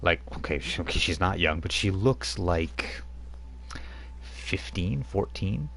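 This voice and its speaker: A man's voice: low voice, expressive, slow, vocal fry, a bit bright. A man running a tabletop role-playing game as game master, narrating in a rambling way with many fillers.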